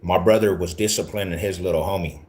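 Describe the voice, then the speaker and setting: A man's voice: deep voice, fairly even intonation, quick pace, a little mellow. A man in his forties from Denver, talking casually to his livestream viewers in rambling street slang and often repeating himself. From Denver, Colorado. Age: 40s.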